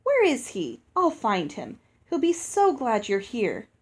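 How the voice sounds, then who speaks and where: A woman voicing an excitable giantess mother, gushing and exclaiming as a wedding host in a storybook. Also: a little high, measured pace, breathy edge, heavily acted. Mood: beaming.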